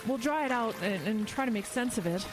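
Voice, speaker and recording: A woman's voice: a little high, animated, brisk, music in the background, lightly acted, low-quality recording. Actress as an earnest, frightened woman in horror drama. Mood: urgent explaining. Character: frightened, compassionate woman investigator recounting a horror. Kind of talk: audio horror drama acting.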